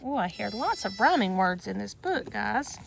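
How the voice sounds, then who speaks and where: A woman reading a rhyming picture book aloud to children in an expressive sing-song manner, with chatty asides, outdoors on a farm with goats. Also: medium pitch, normal speed, gravelly, a little hiss or hum.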